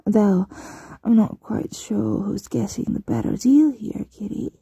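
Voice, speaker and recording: A woman playing a possessive, smugly menacing mistress in an ASMR roleplay, breathy and often whispering, with a teasing, condescending coo. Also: medium pitch, dark tone, no echo, full character voice. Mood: smug, gloating. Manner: teasing, condescending, drawn-out cooing.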